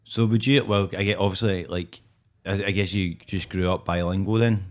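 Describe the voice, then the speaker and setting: A man's voice: deep voice, fairly even intonation, normal speed. A Scottish man co-hosting a podcast, joking and teasing his guest in casual chat. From Scotland. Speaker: podcast co-host.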